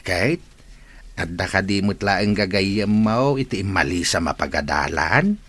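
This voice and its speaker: A man playing a gentle old grandfather giving advice in an audio drama. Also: sits low, unhurried, breathy edge, exaggerated voice. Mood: kindly, advising. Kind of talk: amateur audio drama acting.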